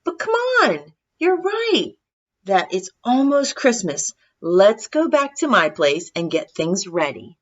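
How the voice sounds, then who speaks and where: A gentle, kindly old Father Christmas figure from a storybook, acted out by a woman. The delivery is reassuring and unhurried in manner, and the recording sounds slightly dull. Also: mid-range voice, sing-song, voice pushed up. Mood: warm, cheerful. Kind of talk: children's storybook character voice.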